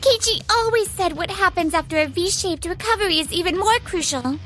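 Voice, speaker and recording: An actress plays an earnest young woman from an anime office romance. Her voice is extremely high-pitched and she speaks up in a pointed, insistent, expressive way, with soft music underneath. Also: fairly quick. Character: earnest, outspoken young female office worker, Yui. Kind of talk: anime-style manga dub acting.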